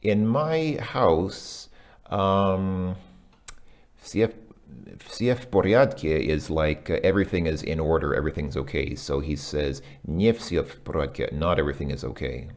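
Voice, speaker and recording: A man working through a language lesson out loud, hesitant, with many ums and self-corrections. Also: extremely low pitch, a little slow. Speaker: language learner reviewing a lesson. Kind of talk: casual thinking-aloud commentary.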